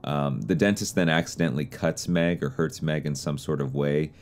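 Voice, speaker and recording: A man giving measured, somewhat tentative explainer commentary on horror videos as the host and narrator. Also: very deep voice, darkish tone.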